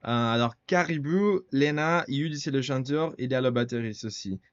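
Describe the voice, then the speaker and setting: A man's voice: medium pitch, normal speed, no echo, highs cut off. Brazilian man hosting a podcast in French. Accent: Brazilian Portuguese. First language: Portuguese. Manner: searching for French words, hesitant. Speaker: podcast host. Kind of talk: casual interview chat.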